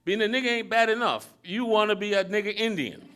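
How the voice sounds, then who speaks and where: A man voicing a gruff older male mechanic who lectures a young man in a rough, drawling way. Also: sits high, fairly quick, a bit bright, a faint crowd, pitched above the performer's own voice. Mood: scolding.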